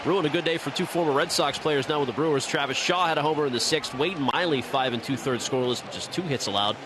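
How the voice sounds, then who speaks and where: A man delivering an energetic scripted sports scoreboard update as a radio host. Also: on the high side, fast talker, background noise. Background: loud music bed.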